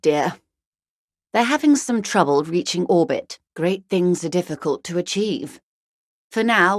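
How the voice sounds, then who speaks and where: A woman playing a wry, urbane, bourgeois negotiator in a video game, speaking in a measured, genteel, faintly ironic way. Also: on the low side, no room sound, clean studio sound. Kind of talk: video game character dialogue.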